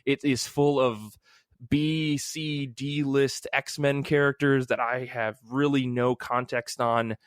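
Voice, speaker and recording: Guy podcast host, rambly and into it, informal chat, regular pitch, really dry sound. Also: level tone, takes it slowly, clear, resonant tone, no echo. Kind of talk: casual comic book podcast chat.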